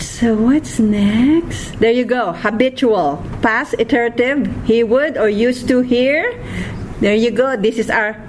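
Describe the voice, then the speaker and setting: Slow, patient Filipino woman teacher. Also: fairly high pitch. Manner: patient, repeats words, checks in with "okay?". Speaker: Hebrew teacher. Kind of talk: classroom lecture. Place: classroom. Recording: noisy room recording.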